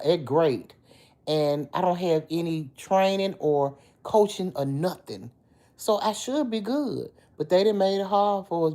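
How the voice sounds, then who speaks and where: Middle-aged woman, low deep dark voice, slightly hoarse, chatty livestream host. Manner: chatty, talks back to commenters by name. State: a little hoarse. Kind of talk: casual livestream chat with viewers.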